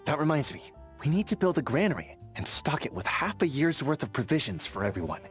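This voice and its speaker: An actor playing an earnest, vigilant young sentry in a fantasy video game, friendly but overcautious, speaking in a methodical, conversational way. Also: male voice, fairly high pitch, sing-song, brisk, soft background music. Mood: earnest, cautious. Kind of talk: video game character dialogue.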